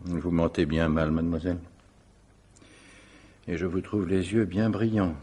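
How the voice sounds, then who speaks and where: A shrewd male investigator in a radio mystery. His voice is low and husky. He is courteous and formal, yet keeps probing with dry insistence. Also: little pitch movement, a little slow, warm tone. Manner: polite, probing, dryly insistent. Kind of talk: French radio mystery drama acting.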